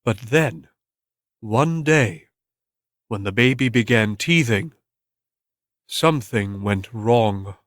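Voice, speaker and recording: Measured male storyteller, dry close recording. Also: mid-range voice, animated, fairly bright tone, dry recording. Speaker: audiobook narrator. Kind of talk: scripted dramatic story narration.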